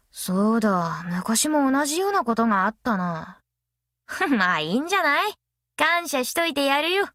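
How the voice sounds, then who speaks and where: A woman voicing a brash, easygoing, long-lived female master metalsmith in an anime game, with rough, cocky, tomboyish speech. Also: fairly high pitch, a bit bright, no room sound, clean studio sound. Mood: casual, teasing. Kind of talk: anime mobile game character voice lines.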